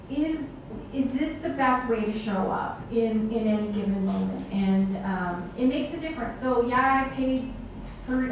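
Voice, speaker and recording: A woman moderating a panel, telling casual personal anecdotes to a room. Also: on the high side. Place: indoor meetup event space with seated audience. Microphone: far camera. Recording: very echoey room, distant microphone, background noise.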